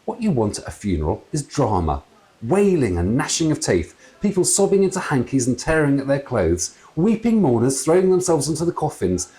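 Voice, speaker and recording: A man voicing a ghostly father in a storybook, rambling, fussy and excitable. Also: mid-range voice, fairly quick, pitched above the performer's own voice, close to an everyday voice. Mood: theatrical, eager. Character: chatty, grumbling ghost father with theatrical tastes.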